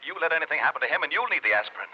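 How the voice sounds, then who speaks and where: Fussy businessman, anxious, very fast, swooping intonation, old radio telephone sound. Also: on the high side, big pitch swings, slightly rough, highs cut off. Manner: anxious, hurried. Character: fretful, fussy businessman client on the phone. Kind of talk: 1940s radio drama acting.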